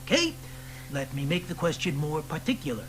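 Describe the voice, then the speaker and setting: An actor playing a provocative, wry poet who needles the people around him. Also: male voice, on the high side, very animated, brisk, fairly bright tone, slight background noise. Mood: needling. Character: provocative male poet of the protest era. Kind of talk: radio drama acting.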